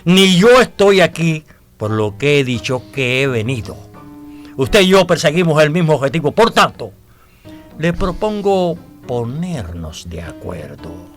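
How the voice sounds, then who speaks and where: A sly scheming blackmailer in a radio soap, really animated, theatrical, bit of music under him. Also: male voice, on the high side, measured pace. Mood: accusing, confident. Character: scheming, self-assured male blackmailer proposing a treasure alliance. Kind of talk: radio drama acting.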